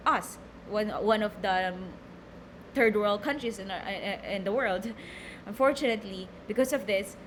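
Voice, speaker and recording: A woman's voice: mid-range voice, fairly bright tone, a little hiss or hum. A young Filipino woman with a Filipino accent, teaching a recorded lecture, reading from slides and explaining with many fillers and repeated words. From Philippines.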